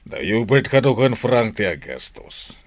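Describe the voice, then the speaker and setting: A calm older village father acted by a man in an Ilocano radio comedy drama. The voice is on the low side and closely recorded without echo, reasoning in a mild, conciliatory way. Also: unhurried.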